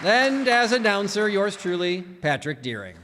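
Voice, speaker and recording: A man's voice: sits high, very animated, measured pace. A man as a theatrical old-time radio announcer, reading out cast credits. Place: town hall stage before an audience. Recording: echoey hall, noisy crowd behind. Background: crowd noise.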